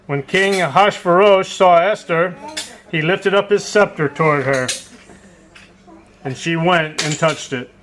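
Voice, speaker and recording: A man's voice: on the high side, measured pace, fairly bright tone. A man narrating a puppet show from a script in storytelling style. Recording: faint clattering background noise.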